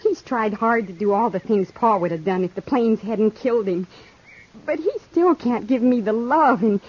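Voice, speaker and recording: A woman's voice: a little high, normal speed, husky. An American woman playing a headstrong young frontier woman in love, in 1950s radio western style. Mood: defiant. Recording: dull, muffled 1950s radio recording.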